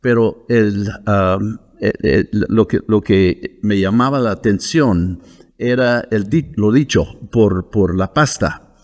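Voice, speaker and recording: An older man from Wisconsin with an American English accent, a missionary guest who recounts his personal testimony in a measured way, pausing often with fillers. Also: fairly low pitch, darkish tone, big-room sound. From United States (Wisconsin).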